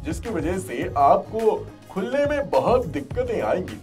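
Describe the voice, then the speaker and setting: A reluctant, hedging cartoon man voiced by a male actor from a village tale. The voice is high and mellow, and he drags his words out slowly and hesitantly. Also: very animated, soft background music. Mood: evasive, discouraging. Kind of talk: cartoon moral-story dialogue.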